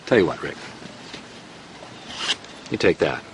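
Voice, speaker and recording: A menacing police officer who presses with pointed questions. His voice is very deep. Faint noise sits behind. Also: big pitch swings, gravelly, full character voice. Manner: menacing, probing, slow and pointed.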